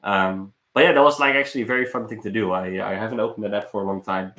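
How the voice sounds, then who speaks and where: The sound is roomy and echoey. A young man talks in a bright, forceful voice on the low side. He rambles casually like a streamer catching up with viewers, halting and repeating words as he goes. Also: steady tone, a little hiss or hum. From Netherlands. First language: Dutch. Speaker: live streamer, travel vlogger. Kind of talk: casual live stream chat. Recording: roomy echo.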